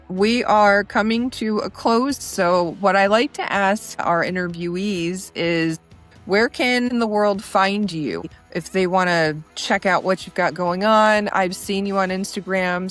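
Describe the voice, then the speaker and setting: A woman hosting a relaxed, conversational interview as she talks with her guest. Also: mid-range voice, slow pace, soft background music. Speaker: interview host.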